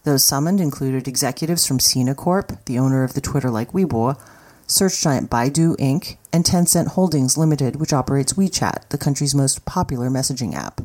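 A news reader with a medium-pitched voice narrates a written report. The delivery is scripted news narration. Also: moderate pace, a little mellow.

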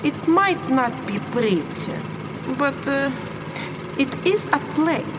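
A stern woman in an audio drama speaks slowly with a lively, swooping pitch and an officious firmness. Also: full character voice. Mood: firm, patient. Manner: deliberate, officious, firm. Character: stern, unbending Russian woman arranging a secret escape. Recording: noisy engine and vehicle ambience.

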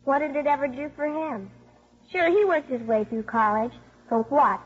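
A woman's voice: high-pitched, moderate pace, lightly acted. An actress playing a streetwise, cheeky schoolgirl with slangy, matter-of-fact talk in a 1950s radio drama. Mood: cocky. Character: streetwise, precocious schoolgirl, brash and unimpressed by grown-ups. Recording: muffled 1950s radio broadcast.

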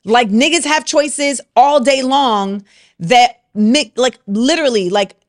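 Earnest woman host, a bit high. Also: takes it slowly, no room sound, studio quality. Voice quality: deep-toned for a woman. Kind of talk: casual podcast chat.

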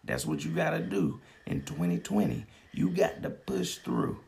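A man co-hosting a faith podcast, his voice hoarse and a little raspy as he pushes through. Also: on the high side, wildly swooping pitch, normal speed, a little mellow. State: slightly hoarse voice. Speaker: podcast co-host.

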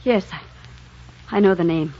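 An actress playing an earnest, secretive romantic heroine in a 1940s radio drama. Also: female voice, lowish voice, slow pace, warm tone. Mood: defensive, distressed. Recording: muffled, noisy 1940s radio broadcast.

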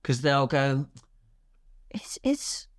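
A man speaking casually as an actor guest in an interview, explaining in an animated way and voicing imagined quotes. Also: medium pitch, very slow, dry recording, studio quality. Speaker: guest, radio drama actor.

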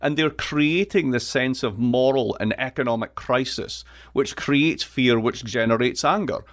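A man's voice: medium pitch, a little slow. A middle-aged man from Scotland with an east coast Scottish accent, talking as a guest economist in a witty, emphatic way, speaking from a home music studio. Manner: witty, emphatic, restarts often. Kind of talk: animated interview conversation.

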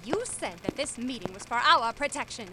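A woman's voice: on the high side, expressive, moderate pace, a little hiss or hum. A woman in an audio drama playing a snippy, indignant company loyalist with a prim, scolding manner. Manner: prim, scolding, sharp-tongued. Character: haughty, snippy female middle manager, indignant company loyalist. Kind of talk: audio drama acting, satirical comedy.

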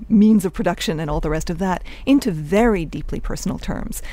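A woman's voice: lowish voice, warm tone, dry recording. A woman speaking as an interviewed professor, articulate and explanatory in an academic way. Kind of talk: thoughtful explanatory interview talk.

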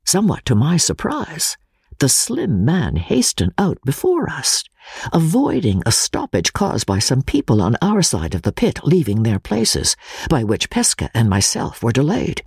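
Theatrical woman narrator, hugely varied pitch. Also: huge pitch swings, a little slow, creaky, a little mellow, husky, no room sound, studio quality. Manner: theatrical, suspenseful. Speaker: audiobook narrator. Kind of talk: dramatic scripted narration of classic fiction.

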